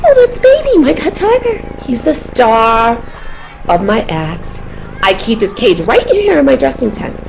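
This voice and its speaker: A woman playing a scheming, nervous, murderous circus tiger tamer in a radio play, with a halting way of talking. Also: a little high, very animated, vocal fry, full character voice. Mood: falsely reassuring. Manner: halting, stammering, repeating words. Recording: muffled, lo-fi audio with noise.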